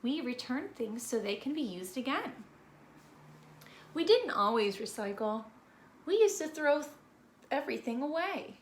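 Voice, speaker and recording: A woman reading a picture book aloud to young children in an expressive, deliberate storytelling manner. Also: high voice. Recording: roomy echo with background noise.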